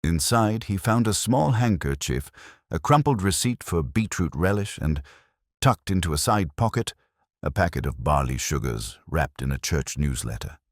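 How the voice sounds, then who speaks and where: A man's voice: low voice, animated, normal speed, no room sound, clean studio sound. A man narrating a scripted mystery story as an audiobook-style storyteller.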